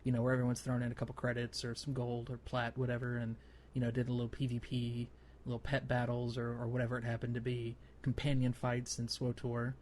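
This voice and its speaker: A man's voice: mid-range voice, monotone, a little fast, darkish tone. Man podcasting over noticeable noise. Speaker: podcast host. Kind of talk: casual gaming podcast talk.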